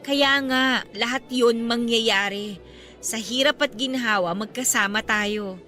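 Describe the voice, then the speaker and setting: Devoted girlfriend, bright highish voice, Filipino accent, coy and sweet, radio drama, soft music behind. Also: measured pace. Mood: devoted, tender. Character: devoted, sweet girlfriend in her thirties, newly graduated. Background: background music.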